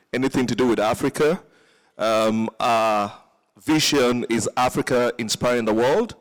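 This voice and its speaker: A man from Nigeria introducing his business on a panel, relaxed and easygoing. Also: mid-range voice. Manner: relaxed, with small laughs and fillers. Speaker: restaurant CEO, panelist.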